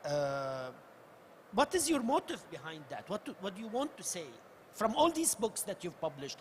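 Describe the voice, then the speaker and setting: A male interviewer with a fairly high voice and a lively, rising and falling pitch asks his questions on stage. He hesitates often with fillers, and a faint hum runs underneath. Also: normal speed. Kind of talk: panel interview. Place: book fair stage.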